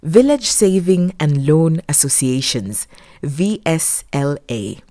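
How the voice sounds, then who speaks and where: A woman narrates a documentary from a formal script. Her low voice is mellow and moves with lively intonation. She keeps a measured delivery. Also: no room sound. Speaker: documentary narrator, voice-over artist.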